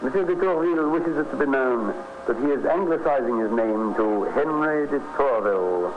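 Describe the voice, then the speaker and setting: A man playing a Victorian newspaper announcer declaims with gossipy pomp. His pitch moves dramatically over a dull, noisy old radio recording. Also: expressive, moderate pace, lightly acted. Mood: pompous. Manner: formal, declaiming. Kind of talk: vintage radio drama, read-out notices.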